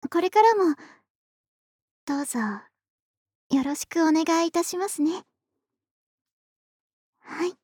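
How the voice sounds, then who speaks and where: Female actor: sweet, affectionate girl-next-door adult for ASMR roleplay; extremely high, gentle, polite delivery, dry close-mic sound. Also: lively intonation, slow, pauses often, no echo, heavily acted. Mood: sleepy, content. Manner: soft, gentle, polite, intimate murmuring with breathy whispers. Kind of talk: ASMR situation voice drama. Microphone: close dry studio microphone.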